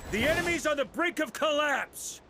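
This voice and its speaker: A hearty knight commander in a fantasy game. The voice is pushed very high, booming as if rallying troops. Clear battle noise sits behind it. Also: male voice, lively intonation, moderate pace. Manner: booming, shouting orders. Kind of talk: video game voice acting.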